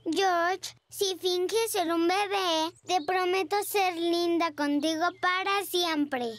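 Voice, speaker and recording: An actor in a children's cartoon dub playing a lively, bossy little girl pig with a childlike, emphatic delivery. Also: extremely high pitch, takes it slowly, no room sound, full character voice. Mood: eager.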